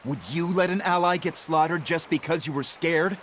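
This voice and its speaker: A man's voice: fairly high pitch, normal speed, a bit bright, a little hiss or hum, close to an everyday voice. An actor playing a loyal, hot-headed young pirate crewman in a video game, defiant and passionate. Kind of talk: English video game voice acting.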